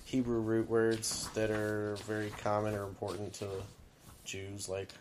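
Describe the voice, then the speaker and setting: A man's voice: fairly low pitch, completely flat delivery, a little slow, a little hiss or hum. A man hosting a podcast in casual chat, rambling with lots of fillers and laughing often. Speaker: podcast host.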